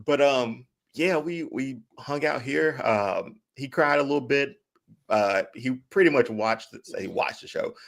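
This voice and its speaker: A man co-hosting a casual podcast, talking in an easygoing, relaxed way as a young father. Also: medium pitch, unhurried, creaky. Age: young adult father. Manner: laid-back, easygoing. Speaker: podcast co-host. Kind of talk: casual chat.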